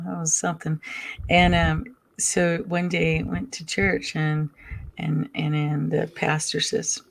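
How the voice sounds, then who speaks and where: A soft-spoken woman speaks in a low voice with a gravelly edge. She talks as a guest over a video call, pausing often with ums as she tells her stories. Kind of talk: casual storytelling chat.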